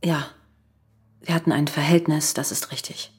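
An actress playing a strained, defiant wife with blunt, curt delivery in a radio crime drama. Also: female voice, low voice, fairly even intonation, normal speed, slightly rough.

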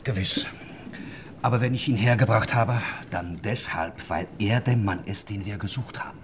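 An actor playing a bold, cunning schemer in a historical German radio drama, talking with theatrical, persuasive confidence. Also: male voice, on the low side, moderate pace, background noise, lightly acted. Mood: calm, assured.

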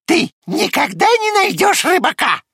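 A man voicing a small, doubting female animal in an expressive children's storybook reading. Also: very high voice, a little slow, creaky, dry recording, clean studio sound, pitched above the performer's own voice, exaggerated voice. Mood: mocking, gleeful. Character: mocking, gloomy female pig and duck, high-voiced animals.

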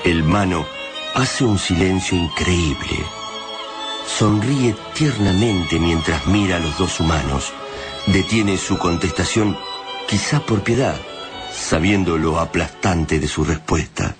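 A man narrating a science-fiction war tale for a radio drama in a solemn, measured, dramatic way. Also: deep voice, over music.

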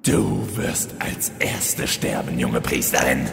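A man playing a menacing, immortal undead king in a parody audio drama, with a forceful, gravelly voice. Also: very deep voice, sing-song, slow, heavily acted. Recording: reverberant hall, clear background noise.

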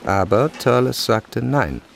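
A man reading literary narration for a radio play, with deliberate, dramatic phrasing. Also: medium pitch, big pitch swings, vocal fry, slight background noise.

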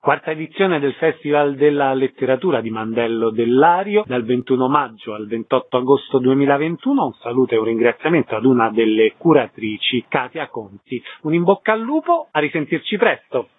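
A man's voice: medium pitch, expressive, fairly quick, few pauses, no room sound. A man presenting and interviewing as the host of a radio show. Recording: dull, muffled radio sound.

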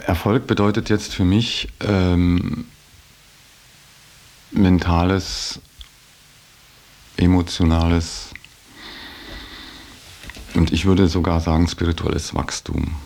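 Slow, creaky-voiced man, thinking aloud. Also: low-pitched, little pitch movement, darkish tone. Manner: hesitant, halting, thoughtful. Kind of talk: reflective interview answer.

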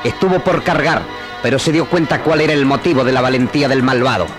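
A man's voice: mid-range voice, fast. A man announcing and narrating an old radio serial in an emphatic, declamatory, dramatic style. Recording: old radio recording under loud music.

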